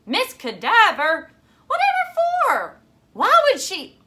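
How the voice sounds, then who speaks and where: A woman's voice: very high voice, wildly swooping pitch, slow, sharp tone, pitched above the performer's own voice, exaggerated voice. A woman voicing a dramatic, stubborn, anxious schoolgirl in a storybook, with an insistent, prim manner. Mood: frantic.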